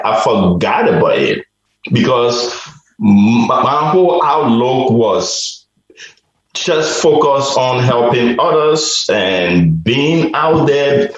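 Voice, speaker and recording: A man from Liberia, a guest on a radio interview, telling a personal story slowly and reflectively, often restarting his sentences. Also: medium pitch, heavy reverb, lo-fi sound. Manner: slow, reflective, with repeated restarts.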